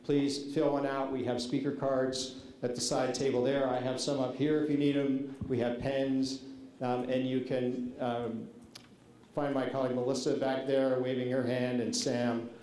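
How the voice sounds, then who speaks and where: Man with a mellow voice in an echoey hall. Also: medium pitch, flat delivery, normal speed. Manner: informal, many fillers, polite requests. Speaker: meeting facilitator. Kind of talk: public meeting guidelines. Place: large public meeting hall. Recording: echoey meeting hall, faint noise.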